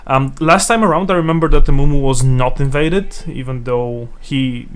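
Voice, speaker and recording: A man's voice: mid-range voice, moderate pace, clear, resonant tone. A man giving casual analysis as an esports commentator, often stammering and repeating words as he reasons aloud. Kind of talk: casual live game commentary.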